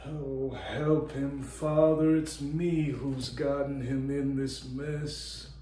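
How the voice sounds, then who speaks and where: A worried, regretful man, acted by a male performer, speaks slowly and haltingly in a radio play. The sorrowful voice sounds in an echoey room. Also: mid-range voice, little pitch movement, warm tone, recorded from a distance, a little hiss or hum, close to an everyday voice. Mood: guilty, praying. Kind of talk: radio play audition acting.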